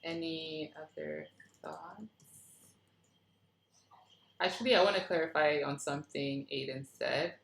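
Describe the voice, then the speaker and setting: A woman's voice: low voice, unhurried, creaky, pauses often, a little hiss or hum. A woman leading a casual feedback discussion, informal and encouraging as she responds to the others. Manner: appreciative, encouraging. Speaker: writer leading the feedback session. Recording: echoey room.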